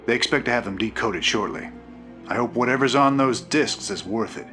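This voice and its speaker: A man's voice: medium pitch, brisk, pushed and bright, faint music behind, natural voice. A man playing a laid-back frontier captain. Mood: easygoing. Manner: casual, drawling, plain-spoken. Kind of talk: video game cutscene dialogue.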